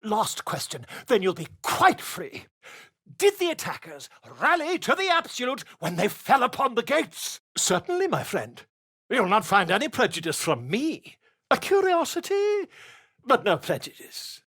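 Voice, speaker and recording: An actress playing a sharp, educated female adventurer in a video game, with a dry, probing way of talking. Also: fairly high pitch, very animated, measured pace, breathy edge, no echo, exaggerated voice.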